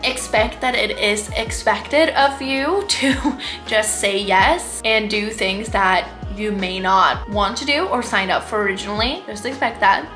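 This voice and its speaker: A woman's voice: medium pitch, a little slow, a bit bright. A young woman from Sweden, giving candid, chatty advice to her camera in a casual vlog. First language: Swedish. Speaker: vlogger. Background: background music.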